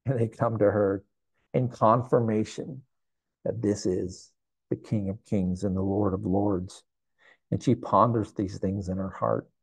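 A man's voice: lowish voice, steady tone, measured pace, creaky, husky, no room sound, rough recording. A man in his sixties preaching a sermon to camera in a church, earnest and reflective, often repeating phrases. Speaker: pastor. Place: indoor church sanctuary.

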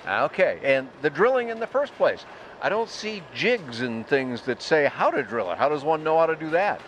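A man's voice: fairly high pitch, sing-song, normal speed, a bit bright, muffled recording, a little hiss or hum. A man doing a casual on-site interview, conversational and inquisitive, often acting out hypothetical questions. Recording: music under the voice.

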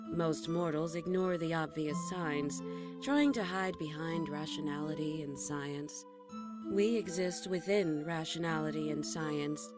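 Lowish, solemn woman-acted mystical guardian. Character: solemn, otherworldly female guardian of a champion. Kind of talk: scripted radio drama introduction.

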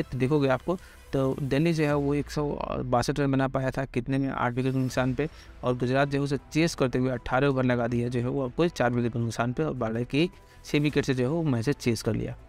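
A man giving a quick, casual cricket pitch analysis, talking straight to viewers with lots of filler phrases. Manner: rapid, heavy filler phrases, addresses viewers directly. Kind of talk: fast casual explainer over slides.

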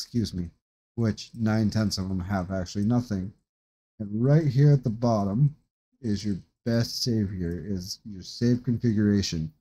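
A man in his thirties giving a casual, rambling, halting tutorial from his desk at home. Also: fairly low pitch, mellow, breathy edge. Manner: rambling, halting, informal explanations. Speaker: tutorial host. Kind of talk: casual screen-share tutorial. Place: small room indoors at a desk.

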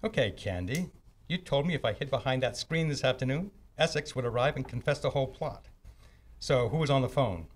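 Man acting an animated, husky police lieutenant. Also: mid-range voice, fairly quick, close to an everyday voice. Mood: brisk, commanding. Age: middle-aged. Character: genial, hard-boiled male police lieutenant. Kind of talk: old-time radio drama acting, read from script. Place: on a stage, live performance. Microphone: large vintage-style microphone on a stand.

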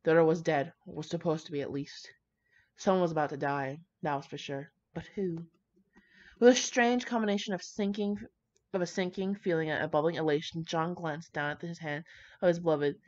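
A woman's voice: low-pitched, fairly even intonation, a little fast, a little mellow. Brash young woman, muffled lo-fi recording. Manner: brash, slangy, profane. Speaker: fan fiction reader. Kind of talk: reading fan fiction aloud with casual commentary.

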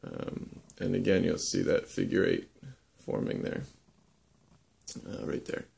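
A young man casually demonstrating how to lash boat joints, talking in a relaxed, halting way with many ums and uhs. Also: sits low, creaky, a little hiss or hum. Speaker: woodworking instructor. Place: indoor workshop.

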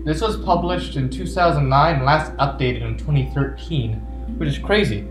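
A man's voice: on the high side, moderate pace. A man giving casual, jokey gameplay commentary, often talking back to the game's characters. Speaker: gaming video host. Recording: roomy echo with game music behind. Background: video game music.

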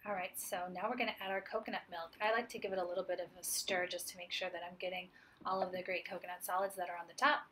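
A woman's voice: fairly low pitch, little pitch movement, quick pace, bright, forceful tone, slight background noise. A woman in her forties, a chef, giving a friendly, upbeat cooking demonstration and explaining each step plainly. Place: home kitchen, indoors.

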